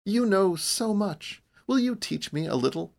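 A man's voice: high-pitched, expressive, unhurried, gravelly, voice pushed up, heavily acted. A man voicing a stubborn, defiant young woman in a storybook, challenging and intense. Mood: hopeful, hungry.

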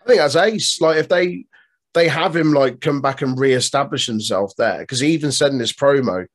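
A man's voice: medium pitch, normal speed. A man co-hosting a podcast in casual chat, rambling and restarting sentences, swearing and laughing often. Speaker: podcast co-host.

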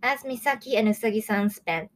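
A woman in her early twenties from Japan, hosting a casual solo review show in a chatty, excitable way. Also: on the high side, a little fast. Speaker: host of a manga review show.

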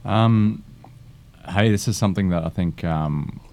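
Deep-voiced man, hesitant co-host. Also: vocal fry, darkish tone. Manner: hesitant, halting with many ums. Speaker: gym co-founder and co-host. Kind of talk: casual podcast talk.

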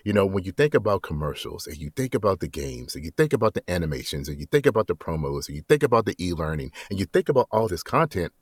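Low-pitched guy chatting easy as a voice actor guest, dry close mic. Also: quick pace, few pauses, slightly rough, no echo. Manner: relaxed, explains with frequent "you know". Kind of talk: conversational interview.

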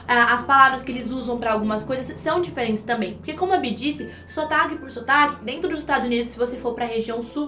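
A woman's voice: high-pitched, pushed and bright, echoey room. A Brazilian woman co-hosting an online English lesson, explaining step by step with examples in a conversational way. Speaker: English teacher and co-host.

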